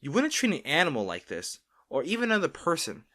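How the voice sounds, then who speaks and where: A man's voice: a little high, wildly swooping pitch, fast, sharp tone, heavily acted. A man playing a caring, worried boyfriend in an intimate roleplay audio drama, earnest and pleading. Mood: firm, earnest. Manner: earnest, pleading, emphatic, with sighs.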